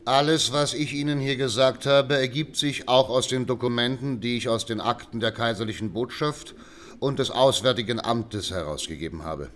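An actor playing a scholarly German writer in his sixties who gives expert testimony in a measured, formal way in a radio drama. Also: mid-range voice, a bit bright, slight background noise, lightly acted. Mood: earnest. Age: older. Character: scholarly expert witness, sixty-two-year-old German writer.

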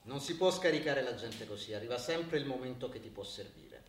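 Guy acting as sly evasive president in a radio play, dry, swingy pitch, echoey room. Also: lively intonation, a little fast, natural voice. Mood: coolly evasive. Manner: dry, non-committal, answering with pointed questions. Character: shrewd, evasive male association president, smugly bargaining. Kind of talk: naturalistic Italian radio theatre acting. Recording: echoey room, distant mic, faint noise.

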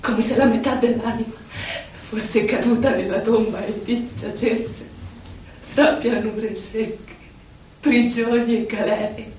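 An actress playing a grieving, lyrical poetic figure, reciting dramatically and lingering on each phrase. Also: female voice, on the high side, a little mellow. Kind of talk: theatrical poetry recitation. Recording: very echoey, distant, faint noise.

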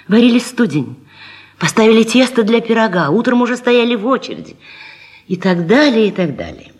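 A woman presenter with a husky voice, delivering a humorous, theatrical radio monologue with ironic, rhetorical emphasis. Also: on the high side. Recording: 1970s radio recording, faint music.

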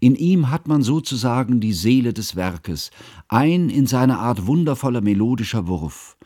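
An actor playing a bookish, earnest, fussy scholar, narrating a literary radio play in a measured, formal, pedantic way. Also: male voice, medium pitch, animated, mellow, slightly rough, no echo, clean studio sound. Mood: reverent, earnest. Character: bookish, earnest, fussy male scholar recounting his friend's life.

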